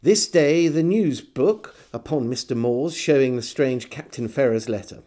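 A middle-aged man with an English accent, reading like a theatrical storyteller in a measured, literary style. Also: mid-range voice, warm tone. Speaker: storyteller and host. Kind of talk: dramatic literary reading of a historical diary. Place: book-lined room at home, indoors.

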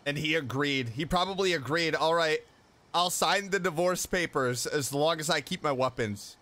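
A man's voice: fairly high pitch, normal speed, sharp tone. A man giving casual, offhand playthrough commentary as a gaming streamer. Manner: reactive, offhand interjections. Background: faint game music.

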